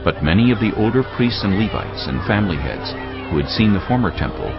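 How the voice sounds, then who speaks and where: A man giving a solemn, measured narration of scripture in a dramatised reading. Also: lowish voice, big pitch swings, muffled recording. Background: background music.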